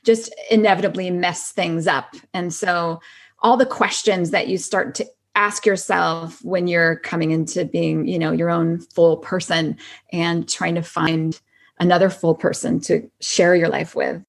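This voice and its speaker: A woman's voice: lowish voice, steady tone, measured pace, creaky. A middle-aged woman, a podcast guest, chatting casually in an informal, agreeable and effusive manner. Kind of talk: casual chat.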